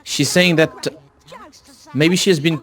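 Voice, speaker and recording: A man's voice: a little high, fairly even intonation, takes it slowly, fairly bright tone. A man chatting casually during a co-op game, thinking aloud and often questioning. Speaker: gaming video player. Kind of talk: casual co-op playthrough chat.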